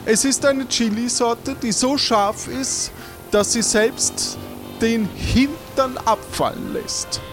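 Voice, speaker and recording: A man voicing a haughty, pompous king who addresses common folk in a drawn-out, regal way, in an improvised adventure audio play. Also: very high voice, over music, exaggerated voice. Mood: condescending. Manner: pompous, drawn-out royal address in third person.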